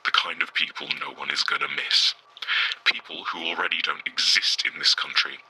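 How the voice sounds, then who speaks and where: An actor playing a bitter, disillusioned whistleblower in an audio drama, husky and harsh, on a distorted radio-like recording. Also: male voice, a bass voice, steady tone, normal speed, sharp tone, full character voice.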